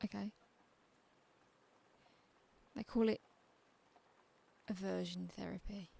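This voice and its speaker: A woman's voice: mid-range voice, fairly even intonation, slow pace, creaky, mellow, slightly rough, exaggerated voice. A woman playing a defiant, bitter asylum patient in a radio drama, with a probing, wry edge to her talk. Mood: reluctant, wounded.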